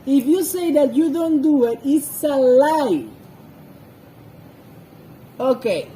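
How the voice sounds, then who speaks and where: A woman who is not a native English speaker, giving informal Bible commentary with personal stories in a halting way, searching for words. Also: high-pitched, dark tone, a little hiss or hum, low-quality recording. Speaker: scripture reader and commentator.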